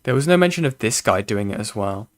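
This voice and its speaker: A man's voice: lowish voice, lively intonation, fast talker. Blunt, scoffing male co-commentator. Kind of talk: casual stream commentary.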